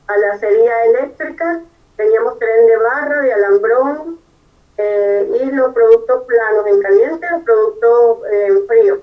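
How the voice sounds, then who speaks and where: A woman's voice: high voice, a little mellow, far from the mic, rough recording. A Venezuelan woman in her fifties, an engineer answering interview questions in earnest detail, often checking in with "¿verdad?". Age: 50s. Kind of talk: conversational interview.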